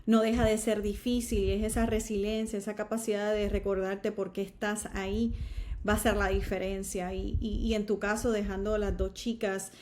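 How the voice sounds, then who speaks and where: A woman hosting a conversational podcast interview, affirming her guest and reflecting the story back with halting, repeated words. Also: mid-range voice, level tone, a little mellow. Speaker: podcast host.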